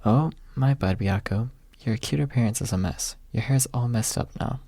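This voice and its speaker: A man performs a brash young man from a fantasy storybook, sarcastic in temper. The voice is husky and creaky, delivered softly in an ASMR style. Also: medium pitch, normal speed, dry recording, lightly acted. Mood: teasing. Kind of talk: soft ASMR character reading.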